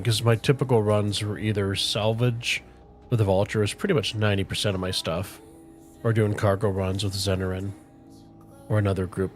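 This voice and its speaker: A middle-aged man chatting casually with viewers on a game livestream, relaxed and rambling, with a soothing voice. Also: lowish voice. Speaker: streamer. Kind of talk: casual livestream chat while gaming. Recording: faint music playing underneath.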